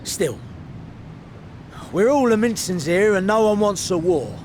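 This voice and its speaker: An actor playing a defiant pirate with rough, blunt speech in a game cutscene. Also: male voice, high voice, lively intonation, measured pace, a little mellow, slight background noise. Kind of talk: video game cutscene acting.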